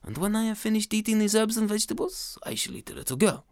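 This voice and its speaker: A woman voicing a greedy, menacing male goat who slowly boasts whom he will eat. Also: medium pitch, expressive, no echo, clean studio sound, voice pushed up, natural voice. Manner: slow, grand threats, shrieking shouts when bitten.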